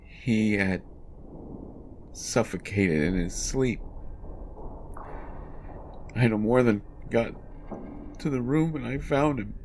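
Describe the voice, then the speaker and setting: Man acting a haunted, halting, deep-voiced writer. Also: a little hiss or hum. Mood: distraught, grieving. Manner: halting, stammering, repeating words. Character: haunted, distraught male writer jailed for his son's death. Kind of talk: dramatic first-person horror monologue.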